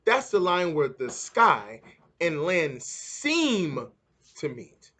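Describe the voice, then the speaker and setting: A man's voice: on the high side, big pitch swings, drawn-out words, sharp tone, breathy edge, heavily acted. A man voicing an encouraging, instructive male schoolteacher in a children's storybook.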